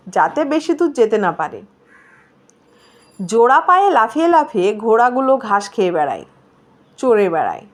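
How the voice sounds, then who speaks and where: A woman reading a story aloud as scripted narration. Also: a little high. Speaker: story reader.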